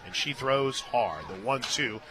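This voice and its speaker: A man doing live radio play-by-play of softball, mixing excitable calls with chatty asides. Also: medium pitch, unhurried, rough recording. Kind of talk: live softball commentary mixed with casual chat. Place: stadium radio booth.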